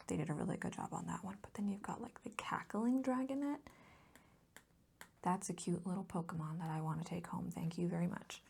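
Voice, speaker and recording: A woman speaking in a soft, whispery voice for a casual ASMR chat, rambling along with gentle enthusiasm close to the mic. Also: on the low side, gravelly, breathy edge. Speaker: ASMR video host.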